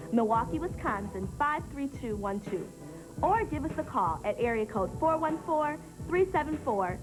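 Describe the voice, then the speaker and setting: A woman's voice: a little high, poor audio. An American woman announcing a scripted promotion for a TV show. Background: music.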